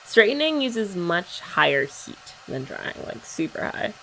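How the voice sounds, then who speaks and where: A woman's voice: medium pitch, sing-song, unhurried, creaky, low-quality recording. A young woman in a playful, teasing ASMR roleplay, chatting as a girlfriend while her hair is dried. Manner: playful teasing chat, soft-spoken. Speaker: ASMR creator. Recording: faint hair dryer noise behind.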